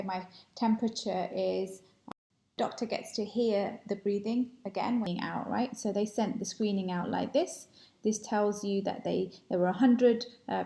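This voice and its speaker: A woman in her thirties walking through a software demo, explaining with frequent ums and uhs, recorded on a laptop webcam at home. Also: medium pitch, vocal fry. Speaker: product presenter.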